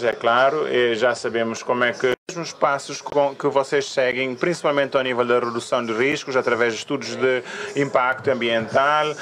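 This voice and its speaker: A man's voice: mid-range voice, a bit bright. Man speaking at a conference panel. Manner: hesitant, many fillers.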